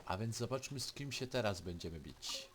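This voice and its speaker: A man's voice: on the low side, expressive, fairly quick, fairly bright tone, slight background noise. A man with a Polish accent giving casual game commentary, musing and thinking aloud as he plays. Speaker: gaming video host. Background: faint game music.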